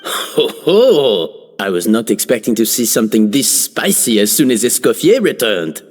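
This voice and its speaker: An actor playing a theatrical, courtly agent who uses flowery, showy old-world phrasing. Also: male voice, medium pitch, big pitch swings, moderate pace, faint music behind. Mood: playful. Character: theatrical, courtly male agent, grandly polite. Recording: reverb.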